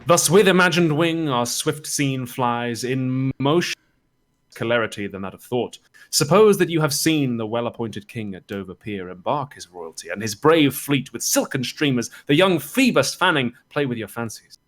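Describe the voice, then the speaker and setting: A man plays a stage chorus in full rhetorical flow. He declaims old verse theatrically, urging the listener to imagine fleets and battle. The pitch sits in an ordinary male middle range. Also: lively intonation, normal speed, fairly bright tone, lightly acted. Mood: stirring, vivid. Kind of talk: declaimed Shakespearean verse reading.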